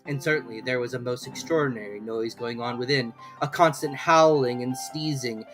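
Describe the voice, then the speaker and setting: A woman's voice: extremely low pitch, normal speed, over music. A woman narrating a storybook expressively as an audiobook reader.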